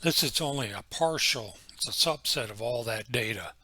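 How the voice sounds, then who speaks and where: A man giving an informal, unscripted software walkthrough as a tutorial presenter, explaining things methodically step by step. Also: mid-range voice, lively intonation, normal speed, no echo. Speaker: tutorial presenter, amateur radio hobbyist.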